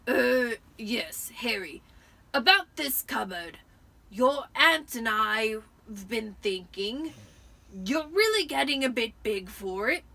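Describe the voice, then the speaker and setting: A blustering middle-aged uncle from a storybook, acted out by a woman, loud and forceful. He snaps out orders with strained, bossy impatience. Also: fairly high pitch, expressive, vocal fry, heavily acted. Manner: snapping, wheezing, forced slow delivery. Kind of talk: dramatic amateur audiobook reading.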